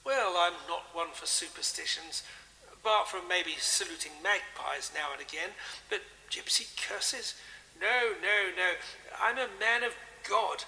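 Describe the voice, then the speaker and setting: A genial male country vicar in an audio drama. His English-accented voice is high and very bright with some creak, and he talks in a chummy, genteel way that brushes things aside. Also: unhurried, exaggerated voice, low-quality recording. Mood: genial, dismissive. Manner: genteel, chummy, dismissive of superstition. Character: genial, chatty, self-consciously hip English country vicar, man.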